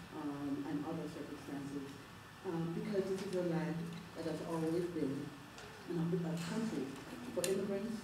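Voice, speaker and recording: A middle-aged woman with a Jamaican accent, giving an earnest, halting town hall speech full of fillers and restarts. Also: deep voice, far from the mic. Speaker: civil rights advocate speaking at a town hall. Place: public meeting hall. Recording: roomy hall recorded from afar, noisy.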